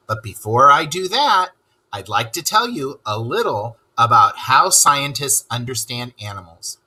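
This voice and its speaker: A man's voice: fairly high pitch, sharp tone, slightly rough, exaggerated voice, rough recording. A man voicing a cheerful male rat who is an animal expert and world traveler, teaching children and asking them questions. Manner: enthusiastic, asks the listener questions. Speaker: read-aloud teacher. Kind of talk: children's lesson read-aloud.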